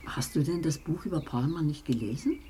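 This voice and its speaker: A woman's voice: low-pitched, brisk, slight background noise, lightly acted. An actress playing a chatty, motherly and matter-of-fact woman crime novelist in a German radio drama. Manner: conversational, animated, matter-of-fact.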